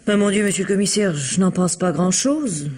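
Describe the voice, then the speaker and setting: An actress playing a guarded, well-bred bereaved fiancée in a radio mystery, polite and measured, with precise phrasing. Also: female voice, medium pitch, lively intonation, warm tone, a little hiss or hum, natural voice. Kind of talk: French radio mystery play acting.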